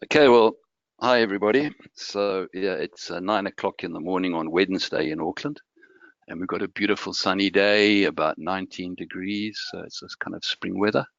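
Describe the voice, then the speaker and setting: A man from Malawi giving a guest technical presentation over a remote call, explaining in a relaxed way with some restarts. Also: low voice, moderate pace, vocal fry, no room sound. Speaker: guest speaker. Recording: dull lo-fi audio.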